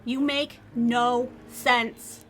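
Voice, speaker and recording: A woman's voice: on the high side, moderate pace, slight background noise, natural voice. A woman playing a sardonic, weary and emotionally raw young woman in a scripted audio drama. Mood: defiant, angry. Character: defiant, weary young woman, sarcastic survivor. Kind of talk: scripted audio drama acting with first-person narration.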